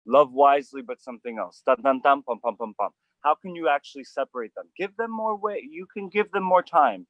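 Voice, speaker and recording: A man hosting an outdoor acting coaching session, animated and emphatic, with frequent restarts. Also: mid-range voice, a little fast, no echo, lo-fi sound.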